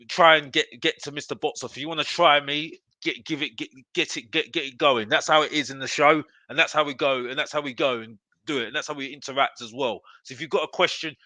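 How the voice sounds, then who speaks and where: A man's voice: a little high, bright, forceful tone, no room sound. A man hosting a live football fan show, ranting in an emphatic, repetitive, exasperated way. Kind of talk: live opinionated fan rant to viewers.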